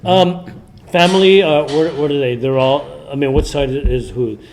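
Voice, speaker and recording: A man's voice: on the high side, normal speed, darkish tone, slight background noise, low-quality recording. A man co-hosting a podcast, asking questions casually and teasing the guest. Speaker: podcast co-host.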